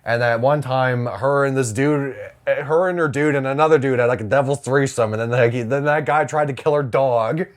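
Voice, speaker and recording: A man's voice: medium pitch, normal speed, hardly any pauses. Young male podcast co-host, casual and comedic, profane, exasperated storyteller, mid-range voice. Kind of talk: casual comedic chat, telling stories.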